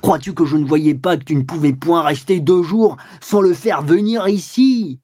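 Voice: medium pitch, normal speed, pitched above the performer's own voice, natural voice. A reader voicing a furious wealthy man hurling accusations at his wife. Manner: shouting, raging accusations. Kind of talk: audiobook character reading.

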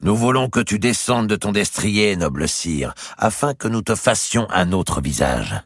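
A man reading aloud puts on a brutal knight who taunts and commands, voice raised and sneering. The tone is gravelly and husky, with wide, lively swings. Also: lowish voice, a little slow, no room sound, studio quality, voice pushed up, full character voice. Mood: jeering. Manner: loud, jeering, commanding.

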